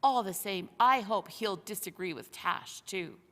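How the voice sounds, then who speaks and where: A woman's voice: medium pitch, a little slow, a bit bright, pitched below the performer's own voice, natural voice. Woman voicing a brash schoolboy in a reverberant hall. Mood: scornful. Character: brash, interrupting schoolboy, eager and scornful.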